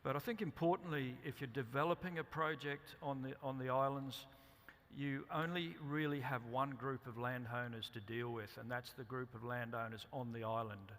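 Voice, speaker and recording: An Australian man giving a company presentation at a conference, speaking haltingly with many ums and restarts. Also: medium pitch, fairly even intonation, a bit bright. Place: conference hall. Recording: reverberant hall.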